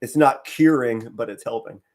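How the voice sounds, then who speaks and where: A hoarse middle-aged man from Pennsylvania doing casual live play-by-play as a fan, mostly low and subdued with bursts of excitement. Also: moderate pace. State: hoarse, straining to save his voice. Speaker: fan commentator on a live stream.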